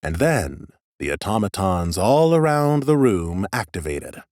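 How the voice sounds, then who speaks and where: Guy narrating a fantasy audiobook, lowish voice, lots of expression, really dry sound. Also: big pitch swings, a little slow, a little mellow, dry recording. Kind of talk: expressive first-person scripted narration.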